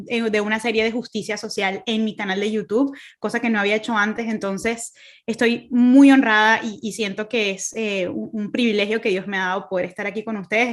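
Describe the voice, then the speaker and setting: Venezuelan lady on a video call, earnest talk, lots of ums and restarts, a bit high pitched. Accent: Venezuelan Spanish, Caracas. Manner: earnest, many fillers and restarts. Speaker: guest speaker. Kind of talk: online faith talk.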